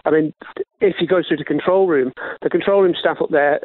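A man with a fairly high voice speaks over a narrow, tinny phone line. He is a caller to a radio phone-in, explaining things in an earnest, insistent, conversational way. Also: fairly quick. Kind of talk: conversational explaining, defending a point.

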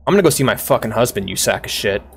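A man streaming a story game, reading lines aloud and reacting with loud, profane excitement. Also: on the low side, very fast, creaky, breathy edge. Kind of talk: gaming livestream, reading dialogue aloud.

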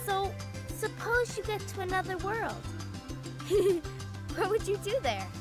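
An actress playing a gentle, curious teenage island girl in a video game, thoughtful and soft-spoken. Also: very high voice, very animated, measured pace, music in the background, full character voice. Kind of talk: video game cutscene acting.

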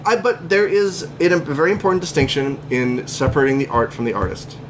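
A man hosting a podcast, telling stories in an animated, joking way. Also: fairly high pitch, a little fast, background noise. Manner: animated, jokey storyteller. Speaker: podcast host. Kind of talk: casual chat.

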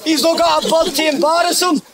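A young Scottish man delivering crude, taunting rap battle verses outdoors at night, his voice going hoarse. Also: very high-pitched, steady tone, fairly quick, over loud music. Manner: taunting, crude, laughs at own lines. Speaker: battle rapper. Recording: noisy outdoor crowd recording. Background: crowd and street noise.

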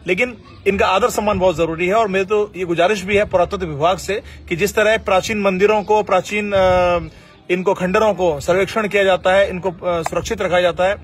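Young male street vlogger, high-pitched, friendly, chatty, phone recording outdoors. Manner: friendly, laughs often, earnest when addressing viewers. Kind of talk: casual street vlog chat.

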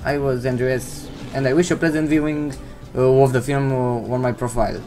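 A man's voice: mid-range voice, fairly even intonation, a little fast. Teen boy from Romania, non-native English, hesitant casual vlog host, desk mic at home, faint music bed. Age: teens. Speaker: channel host answering viewer questions. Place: indoors at home, seated in gaming chair. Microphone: desk microphone.

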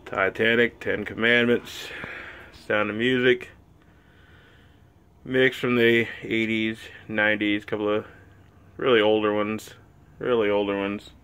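A man giving a casual filmed tour of his collection, listing items slowly and offhandedly with many fillers, recorded on a handheld phone in a small wood-panelled room. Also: lowish voice, little pitch movement, fairly bright tone, a little hiss or hum. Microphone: phone camera held while walking.

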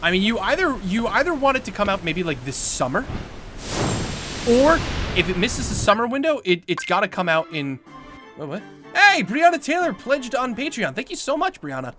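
A young man with a light tenor voice chatting casually to his live-stream viewers while playing, answering questions and reacting with excited outbursts. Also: high-pitched, moderate pace. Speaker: let's play streamer. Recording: game soundtrack loud underneath. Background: loud game music.

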